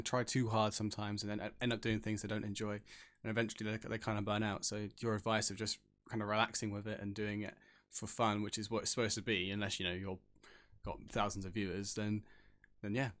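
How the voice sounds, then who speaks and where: A man hosting a casual interview podcast, friendly and affirming toward his guest. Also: fairly low pitch. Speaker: podcast host.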